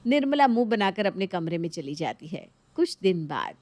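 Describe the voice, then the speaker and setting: A woman's voice: fairly low pitch, very animated, normal speed, a little mellow, no room sound. A woman giving scripted narration for a moral story, as its narrator.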